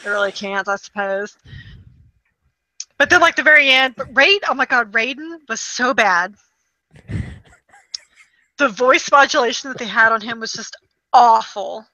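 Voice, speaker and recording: A woman's voice: on the high side, animated, unhurried, pauses often, sharp tone, no room sound, poor audio. A woman in casual, informal conversation, telling stories expressively and quoting reactions as she goes. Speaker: podcast co-host reviewing movies. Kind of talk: casual chat, animated anecdote-telling.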